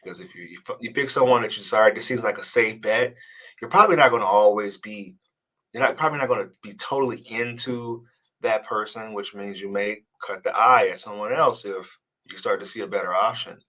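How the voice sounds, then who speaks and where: A man with a somewhat low voice gives relationship advice in a loose, conversational live-stream manner. He sounds congested from a cold and often stumbles and repeats words as he works toward his point. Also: fairly quick, sharp tone. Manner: casual, earnest, repeats words when restarting. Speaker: dating coach. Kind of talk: live-stream advice chat reading comments.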